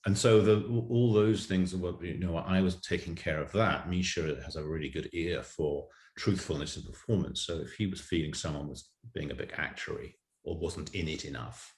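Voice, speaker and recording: A man's voice: low voice, moderate pace, few pauses, a little mellow. A man explaining his production work as a co-director in an interview, precise and detailed. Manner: detailed, precise, lists examples. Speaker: audio drama writer and co-director. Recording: roomy echo.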